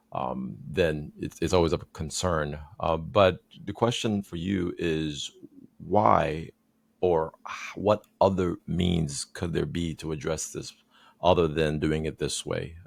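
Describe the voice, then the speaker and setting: A man born in Haiti hosts a podcast, speaking in a very low, gravelly voice. He asks his questions in a measured, thoughtful way, padding them with fillers. The sound is dry and close. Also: no echo. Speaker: podcast host. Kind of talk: conversational interview.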